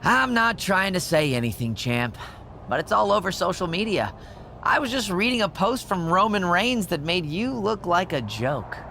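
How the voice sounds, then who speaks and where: High-voiced smug, snide assistant. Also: male voice, big pitch swings, moderate pace, gravelly, sharp tone, a little hiss or hum, heavily acted. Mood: snide, needling. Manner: sarcastic, mock-polite needling. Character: smug, snide male corporate assistant in a wrestling story. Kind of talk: video game cutscene acting.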